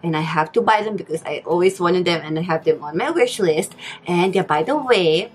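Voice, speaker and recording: A woman's voice: lowish voice, expressive, brisk, slightly rough. A woman in her thirties from the Philippines, whose first language is Filipino, chatting casually and cheerfully to camera in a bedroom, with frequent ums. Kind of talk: casual vlog talk to camera. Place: bedroom at home, indoors.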